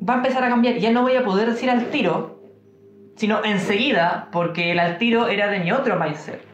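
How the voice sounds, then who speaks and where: A young man with a Chilean accent giving an informal devotional talk, musing aloud with pauses and fillers. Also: high-pitched. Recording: roomy, dull-sounding room recording.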